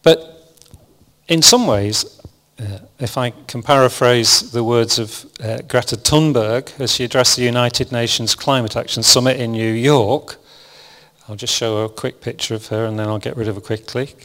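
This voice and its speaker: A man preaching a sermon to a congregation, speaking calmly and evenly, with frequent restarts. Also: mid-range voice, normal speed. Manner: calm, even, self-described monotonous delivery with frequent restarts. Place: church hall with congregation. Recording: long hall reverb.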